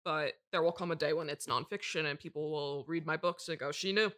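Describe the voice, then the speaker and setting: A woman playing a pompous, brooding horror novelist in a mock interview, melodramatic and condescending, pausing for effect. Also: low-pitched, fairly bright tone. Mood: ominous, brooding. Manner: melodramatic, condescending, deliberate dramatic pauses. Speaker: interview guest.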